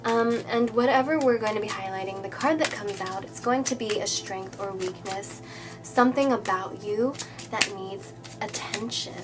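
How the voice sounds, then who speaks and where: A woman's voice: medium pitch, poor audio. Nasal-sounding woman reading tarot, chatty. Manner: chatty, reassuring, many fillers and "okay?". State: nasal from a cold. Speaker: tarot reader. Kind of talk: casual tarot reading to camera.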